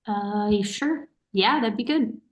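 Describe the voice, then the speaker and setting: A woman acts out a caring sister in a stage play who likes to tease and is quick to get suspicious. She speaks in a natural, conversational way at a medium pitch. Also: close to an everyday voice. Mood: teasing, casual. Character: caring, teasing, suspicious sister of a young man. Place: remote online staged reading.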